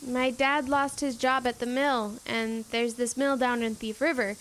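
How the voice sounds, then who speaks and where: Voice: mid-range voice, fairly even intonation, measured pace, fairly bright tone, a little hiss or hum, natural voice, poor audio. A young performer playing a polite, hesitant, earnest girl in an audio drama. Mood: apologetic, sad. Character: Michelle, earnest girl with news to break.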